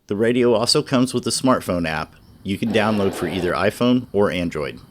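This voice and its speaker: A man narrating a scripted product review in a measured, informative way. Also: on the low side, lively intonation, a little hiss or hum. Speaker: radio reviewer.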